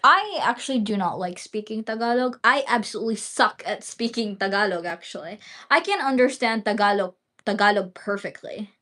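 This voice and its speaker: A woman's voice: mid-range voice, animated, normal speed, sharp tone. A woman from the Philippines, a Bisaya speaker, chatting casually on a livestream and rambling with lots of fillers and casual swearing.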